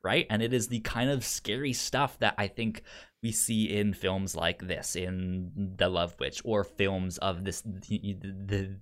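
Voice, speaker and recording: A podcast co-host in relaxed film conversation, speaking at a slow pace. The speech stammers often, with words and first syllables repeated before the thought moves on. The style is casual and unscripted. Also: deep voice. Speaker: podcast co-host reviewing films.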